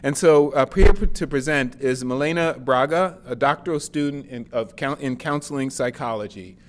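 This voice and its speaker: Male moderator, halting and self-correcting as he introduces the next presenter. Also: mid-range voice. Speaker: event moderator. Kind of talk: introducing a speaker.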